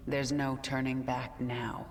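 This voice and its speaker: A woman's voice: extremely low pitch, little pitch movement, takes it slowly, darkish tone, exaggerated voice. Grim, commanding swarm queen. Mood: resolute. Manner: grim, controlled, decisive. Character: vengeful, commanding alien swarm queen, once human. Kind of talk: video game cutscene acting. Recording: cinematic music behind.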